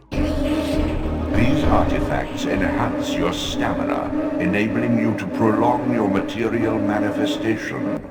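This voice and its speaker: Ancient spirit mentor, abyssally deep. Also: male voice, gravelly, exaggerated voice. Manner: solemn, oracular, measured. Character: ancient all-knowing spirit mentor, grave and commanding. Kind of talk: dramatic video game voice acting. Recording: long cavernous reverb, music behind.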